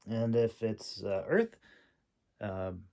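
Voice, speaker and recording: A man giving an informal, unscripted critique in a halting, hesitant way, with many pauses and repeated words. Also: lowish voice, animated, mellow. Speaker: audiobook narrator and manuscript critic.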